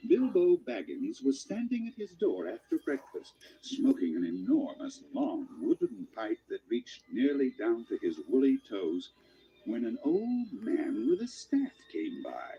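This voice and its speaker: A man narrating a fantasy radio play as a storyteller, measured and expressive, savoring each detail. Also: mid-range voice, husky, dull audio, exaggerated voice. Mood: storytelling. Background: music.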